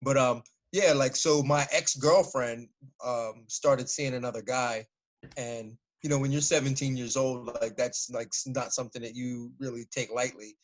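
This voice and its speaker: A man in his forties, a former fighter, telling stories in a relaxed, casual interview and laughing often. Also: mid-range voice, normal speed. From United States.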